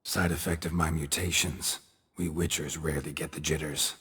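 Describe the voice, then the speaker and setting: Stoic monster hunter from a fantasy game, super raspy deep voice, deadpan, few words. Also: male voice, normal speed, in a large hall, heavily acted. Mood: calm, deadpan. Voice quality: gravelly, raspy. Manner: laconic, deadpan, clipped sentences. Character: stoic, unflappable male monster hunter, mutated witcher. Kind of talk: understated video game acting.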